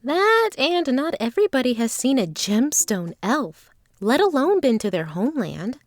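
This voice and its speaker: Actress as female fantasy bard, earnest, guarded, formal phrasing, highish voice, dry recording. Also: sing-song, normal speed, no echo. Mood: composed, explaining. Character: earnest, guarded female traveling performer blessed with divinity.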